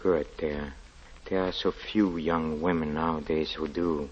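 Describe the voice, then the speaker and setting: Gaunt religious-zealot lodger, 1940s radio drama, low solemn hesitating delivery, muffled lo-fi sound. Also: male voice, steady tone, unhurried, a little hiss or hum. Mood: solemn, preaching. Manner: solemn preaching, formal and archaic. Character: gaunt, pious, half-mad male lodger obsessed with scripture. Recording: dull, lo-fi 1940s radio recording.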